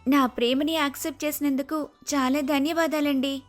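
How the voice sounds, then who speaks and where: A woman's voice: high voice, fairly even intonation, moderate pace, faint music behind, natural voice. A woman playing a forthright, affectionate young bride-to-be in a story. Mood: grateful.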